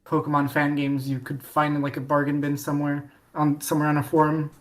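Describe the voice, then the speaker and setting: A woman talks casually as a guest on a fan podcast, her voice sitting a little low. She rambles, doubling back and restarting her sentences with plenty of fillers. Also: monotone, a little fast. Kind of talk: casual chat.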